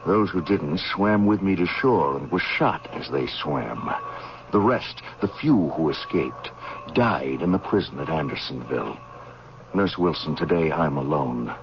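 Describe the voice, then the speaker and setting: Haunted, brusque male major, gravelly bass, vintage radio drama, muffled and noisy. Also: animated, a little slow, heavily acted. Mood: bitter, grieving. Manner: brooding, reluctant, brusque. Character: bitter, haunted male army major, freed prisoner of war. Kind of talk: old-time radio drama acting. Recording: dull, noisy old radio recording.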